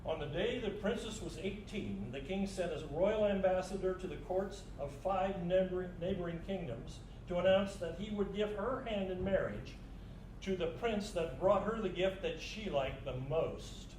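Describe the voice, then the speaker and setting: A man's voice: sits high, normal speed, darkish tone, roomy sound, slight background noise, rough recording. A man narrating a literary story in a live staged reading.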